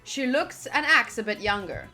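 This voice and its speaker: A woman hosting a visual novel playthrough, reading the narration aloud and adding chatty, expressive asides. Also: a little high, normal speed, low-quality recording. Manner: chatty, expressive, reacting fondly to the game. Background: background music.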